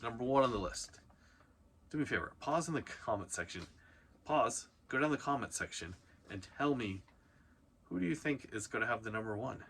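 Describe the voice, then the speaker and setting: A man with a fairly low, bright and forceful voice presents a countdown like a video host. He talks informally and leans hard on key items. There is some noise in the background of the recording. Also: creaky. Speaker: host of a reselling video channel. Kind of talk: casual countdown commentary to camera.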